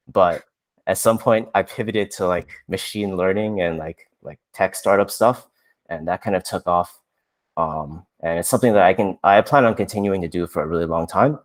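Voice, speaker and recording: A man in his thirties from Canada, chatting casually on a podcast, relaxed and self-deprecating with lots of fillers. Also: low voice. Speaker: podcast co-host, software engineer.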